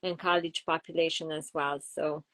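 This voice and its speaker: A middle-aged woman with a Romanian accent speaks as a guest psychologist in an interview. She explains patiently and builds her points through everyday examples, pausing on fillers as she thinks. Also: low-pitched, little pitch movement, a bit bright. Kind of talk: interview conversation.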